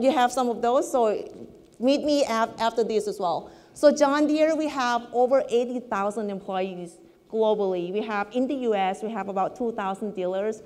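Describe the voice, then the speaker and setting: Thai-accented woman in her 40s, economist keynote, fairly high voice, light self-deprecating humor, long hall reverb. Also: a little mellow. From Thailand. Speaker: keynote speaker, corporate economist. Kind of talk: conference keynote presentation. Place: large event hall.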